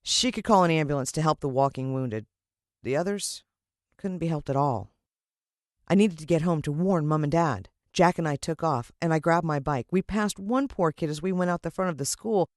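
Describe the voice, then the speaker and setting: A woman's voice: low voice, normal speed, a little mellow, dry recording, clean studio sound. A woman with an American accent reading a children's story expressively as an audiobook narrator.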